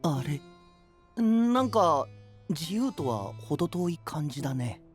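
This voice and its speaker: Upbeat young anime knight, casual and friendly, very animated delivery. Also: male voice, a little high, takes it slowly, soft background music. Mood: puzzled, animated. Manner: breezy, casual, friendly slangy talk. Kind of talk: anime-style audio drama acting.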